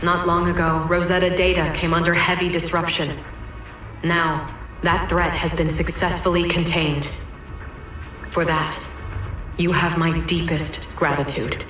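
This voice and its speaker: A woman playing a solemn, stately female leader giving a measured, grave, formal speech in a video game. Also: on the low side, flat delivery, a bit bright. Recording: echoey large space, faint music behind.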